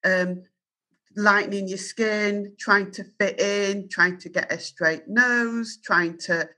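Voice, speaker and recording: A woman's voice: mid-range voice, fairly even intonation, slow pace, pushed and bright. A woman in her fifties with a Yorkshire accent, a journalist answering interview questions in an earnest, firm and self-assured way. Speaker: journalist and guest.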